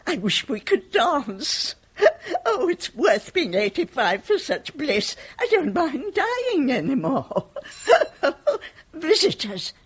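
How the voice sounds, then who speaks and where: A woman's voice: high-pitched, big pitch swings, takes it slowly, full character voice. An actress playing a genteel, girlish, effusive woman of eighty-five with a British accent in a radio play. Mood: giddy, happy. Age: 80s. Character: frail, genteel, giddy eighty-five-year-old widowed lady. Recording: dull lo-fi radio recording.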